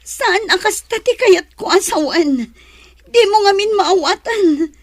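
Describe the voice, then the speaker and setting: A woman's voice: very high-pitched, lively intonation, unhurried, no echo, clean studio sound. A woman playing a hurt, pleading pregnant young wife in an Ilocano radio drama. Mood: hurt, frustrated.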